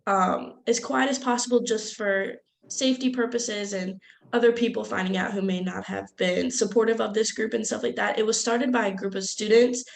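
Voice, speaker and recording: A young woman, a student, talks casually in a class discussion, with plenty of "like" and hedged asides. Her voice sits mid-range with a noticeable gravelly fry. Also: medium pitch, steady tone, moderate pace. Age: young adult. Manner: casual, uses "like" and "I guess you could say".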